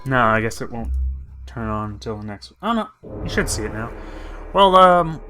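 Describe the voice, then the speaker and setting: A man's voice: mid-range voice, very animated, normal speed, pushed and bright. A man giving let's play commentary, reading game text aloud and adding jokey, rambling asides. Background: game music.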